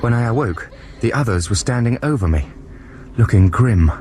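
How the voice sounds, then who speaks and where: A man's voice: mid-range voice, animated, moderate pace, natural voice. An actor playing an earnest man recalling a perilous expedition, in solemn, reflective radio drama narration. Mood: grim. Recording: very noisy 1990s radio broadcast.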